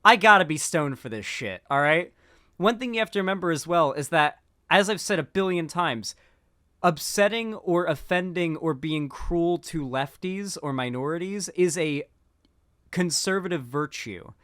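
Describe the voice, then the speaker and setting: A man's voice: a little high, animated, normal speed, fairly bright tone, smooth, no room sound, studio quality. A man giving casual political commentary while stoned, rambling with lots of fillers and restarts.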